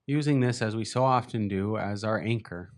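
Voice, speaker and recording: A man leading a guided meditation as a teacher, speaking gently and unhurriedly with long pauses. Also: on the low side.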